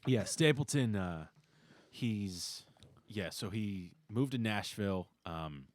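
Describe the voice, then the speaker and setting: A man chatting casually as a musician guest on a podcast, with a hesitant, halting delivery. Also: lowish voice, lively intonation, dry recording. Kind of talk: casual chat.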